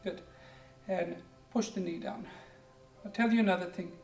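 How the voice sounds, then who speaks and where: A yoga instructor in their forties from India, with an Indian accent and English as a second language, guiding a class with gentle, encouraging cues. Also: medium pitch, fairly even intonation, normal speed, vocal fry. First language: Hindi or Punjabi. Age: 40s. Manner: gentle, encouraging, keeps saying "good", simple repeated cues. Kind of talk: guided yoga class with pep talk. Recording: echoey room, distant mic, faint music.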